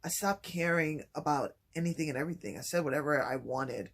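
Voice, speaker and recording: A man talking softly and haltingly in a personal vlog, with many pauses and restarts. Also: gravelly, husky. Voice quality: low voice. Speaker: vlogger. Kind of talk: personal vlog, reflective talk.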